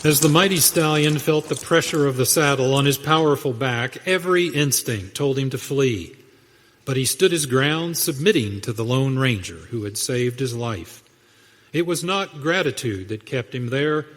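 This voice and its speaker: A man's voice: mid-range voice, expressive, moderate pace, a bit bright. A man narrating a western radio drama in a scripted, dramatic storytelling style. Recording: reverberant hall sound.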